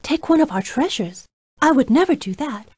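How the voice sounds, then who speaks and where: A woman's voice: sits high, animated, normal speed, darkish tone, no room sound. A woman voicing an indignant villager who feigns innocence in a children's game. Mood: indignant, defensive. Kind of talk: children's game cartoonish voice acting.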